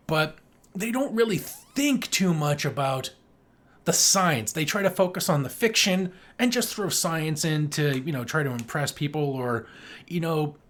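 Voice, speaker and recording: An enthusiastic podcast co-host chatting casually about film, speaking emphatically and swearing freely. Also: mid-range voice. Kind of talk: casual film review chat.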